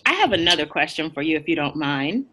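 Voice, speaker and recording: Bright, enthusiastic woman interviewer. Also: deep voice, sing-song, fast. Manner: enthusiastic, affirming. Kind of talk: interview conversation.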